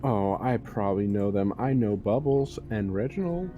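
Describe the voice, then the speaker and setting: A man's voice: lowish voice, expressive, normal speed, highs cut off, over music, close to an everyday voice. A man playing a boastful, grumbling jailed terrorist in improvised online roleplay. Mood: curious, needling. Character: boastful, grumbling jailed male terrorist, self-declared cool.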